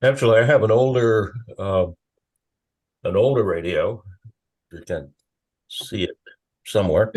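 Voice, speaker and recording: A man in a casual meeting discussion, speaking haltingly with pauses mid-thought as a participant. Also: on the low side, darkish tone, low-quality recording. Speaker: meeting participant asking questions.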